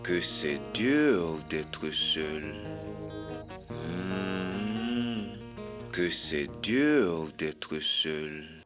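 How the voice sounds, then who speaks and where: A man's voice: deep voice, lively intonation, very slow, over music, full character voice. A man voicing a lonely giraffe uncle in a cartoon, mournful and left out. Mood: lonely, sad. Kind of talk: cartoon voice acting with sung refrain.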